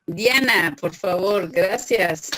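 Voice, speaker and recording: A woman's voice: medium pitch, takes it slowly, a bit bright, no echo. A woman teacher, lecturing an online class, patiently questioning students and praising them by name.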